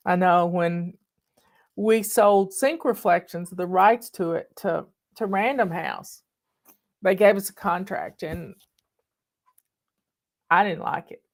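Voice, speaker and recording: A woman's voice: fairly low pitch, takes it slowly, pauses often. An older woman from the American South talks to her online followers in a thick Southern accent. She tells stories in a folksy, chatty way, calling people "y'all" and owning up cheerfully to being bossy. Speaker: online host. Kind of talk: casual storytelling chat. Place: home in the mountains.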